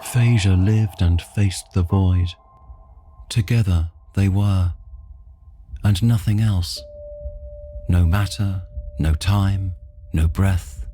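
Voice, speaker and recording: Deep, unhurried mythic storyteller. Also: male voice, mellow. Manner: measured, poetic phrasing. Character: grave male storyteller of a creation myth. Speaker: narrator. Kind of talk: solemn scripted creation-myth narration, fantasy audio drama.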